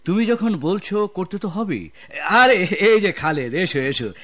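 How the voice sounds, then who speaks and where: An actor playing a smug, sly general manager in a radio drama, hemming and talking with oily sarcasm. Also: male voice, high-pitched, lively intonation, unhurried, a bit bright, full character voice. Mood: sly, scheming.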